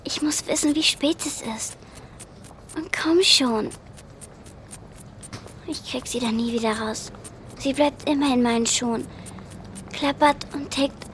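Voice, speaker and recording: A woman's voice: sits high, unhurried, long pauses, fairly bright tone, a little hiss or hum. An actress playing a prim, plucky nine-year-old refugee girl, polite and direct, in a radio drama. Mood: fretful.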